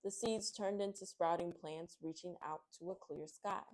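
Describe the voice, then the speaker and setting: Lower-pitched woman, expressive children's storyteller. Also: normal speed, poor audio. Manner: expressive, gushing, excitable. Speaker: children's book read-aloud host. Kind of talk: storybook narration and friendly chat to young viewers.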